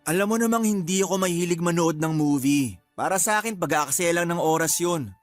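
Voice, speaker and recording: A man's voice: on the high side, lively intonation, a little fast, faint music behind, lightly acted. A man playing a sulky, complaining young boyfriend in a radio drama, whiny and exasperated in manner. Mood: reluctant. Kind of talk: Tagalog radio drama acting.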